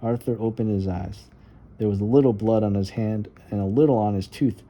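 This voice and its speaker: A man's voice: on the low side, fairly quick, dark tone. A man narrating a children's storybook in a read-aloud style. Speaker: storybook reader.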